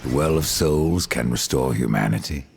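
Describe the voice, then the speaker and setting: An actor playing a grim, menacing undead warrior in a video game, speaking in a terse, stern, distrustful way. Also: male voice, very deep voice, moderate pace, gravelly, a little hiss or hum. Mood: grim, resolute.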